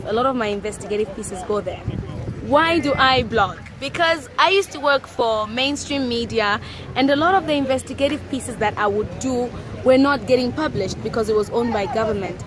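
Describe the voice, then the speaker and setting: A young woman from Zambia with a Zambian accent, a blogger and journalist introducing herself in an upbeat, quick way outdoors. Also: fairly high pitch. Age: young adult. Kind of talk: self-introduction to camera. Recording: traffic noise outdoors.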